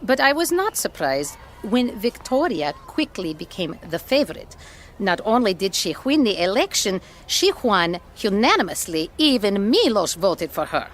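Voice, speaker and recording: An actress playing a concerned, caring schoolteacher in an audio drama, speaking in a measured, earnest way. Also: female voice, medium pitch, very animated, slight background noise, lightly acted. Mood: measured, troubled. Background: background music.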